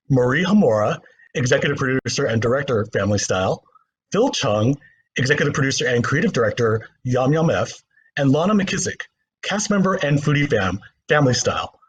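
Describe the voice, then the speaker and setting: A man's voice: medium pitch, slight background noise, rough recording. A man moderating a panel as a journalist, delivering prepared introductions and questions.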